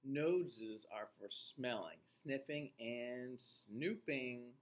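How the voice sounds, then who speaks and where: A man in his forties reading a picture book aloud to young children in an expressive, teacher-like way, with playful asides. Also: mid-range voice, drawn-out words. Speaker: children's read-aloud host. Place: small indoor room.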